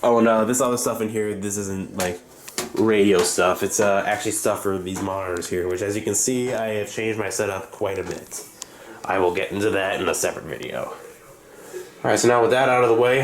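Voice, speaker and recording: A man with a fairly low voice talks casually through a hobby collection at home. His delivery is halting and unscripted, often doubling back to correct himself. The room is noticeably echoey, with faint noise behind him. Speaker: hobbyist video host reviewing his radio collection. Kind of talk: unscripted casual show-and-tell commentary. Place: indoors in rooms at home.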